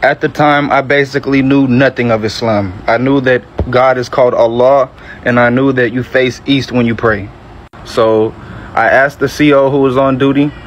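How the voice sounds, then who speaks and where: A man, giving a measured, reflective personal testimony and often correcting himself. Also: mid-range voice, steady tone, a little hiss or hum, poor audio. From Metro Atlanta, Georgia, USA. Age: early 20s.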